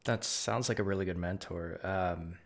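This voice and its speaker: Man interviewer, lowish easygoing voice. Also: steady tone, moderate pace, creaky. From United States. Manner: friendly, encouraging questions. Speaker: host, interviewer. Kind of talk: casual interview.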